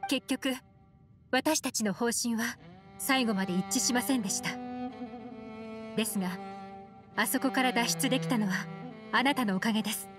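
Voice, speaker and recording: A woman playing a poised, self-possessed female agent in an anime game, composed and measured, with feminine phrasing. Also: on the high side, over music, lightly acted. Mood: composed, sincere.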